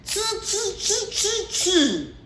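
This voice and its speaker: A woman's voice: very high-pitched, wildly swooping pitch, slow pace, fairly bright tone, voice pushed up, full character voice. A woman voicing cheeky, stubborn storybook monkeys in short, repeated, mocking chatter. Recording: roomy echo, faint background noise.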